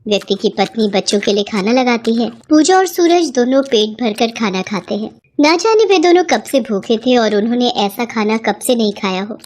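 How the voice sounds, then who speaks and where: A woman's voice: on the high side, animated, normal speed, hardly any pauses, a little mellow. A woman narrating a scripted children's moral story as the storyteller.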